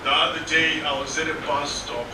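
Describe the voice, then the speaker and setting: Halting, high-pitched young male stand-up comic. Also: flat delivery. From Zimbabwe. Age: young adult. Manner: halting, stammering, awkward delivery. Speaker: stand-up comedian. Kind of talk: stand-up comedy set. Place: small comedy theatre stage. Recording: noisy, echoey live club, distant mic.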